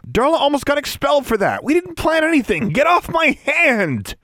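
Man voicing a high-pitched defiant young schoolgirl witch. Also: huge pitch swings, normal speed, bright, forceful tone, dry recording, studio quality, full character voice. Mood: defiant, angry. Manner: strained, emphatic protests.

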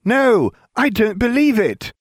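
Man voicing a gloomy teenage boy, flat, slow, high pitch, storybook. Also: husky, no room sound, studio quality, exaggerated voice.

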